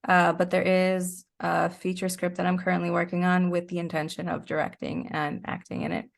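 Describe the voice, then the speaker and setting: A woman's voice: fairly low pitch, little pitch movement, fairly quick, creaky. A woman speaking as a guest in a casual interview, candid and self-deprecating. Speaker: interview guest, actor and writer.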